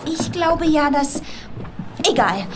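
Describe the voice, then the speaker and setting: A woman's voice: sits high, lively intonation, slow pace, heavy background noise. A woman playing a hesitant, uneasy young female character in acted dialogue. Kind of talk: acted dialogue in a played clip.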